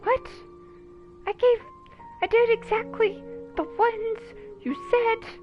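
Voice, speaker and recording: A woman's voice: very high voice, fairly even intonation, takes it slowly, dull audio. A woman giving casual, playful and informal reactive commentary while playing a video game. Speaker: gamer commentating on a playthrough. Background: game music.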